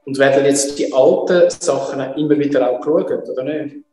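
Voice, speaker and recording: A man's voice: medium pitch, normal speed, a little mellow. A man in his forties with a Swiss German accent, asking questions as a casual interview host. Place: indoor martial arts training room. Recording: echoey room reverb, music behind.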